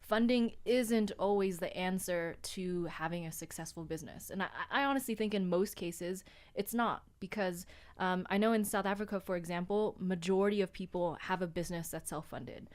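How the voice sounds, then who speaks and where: Woman advising in a relaxed radio chat. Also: on the low side. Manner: relaxed, explanatory, spells things out slowly. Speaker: guest business advisor.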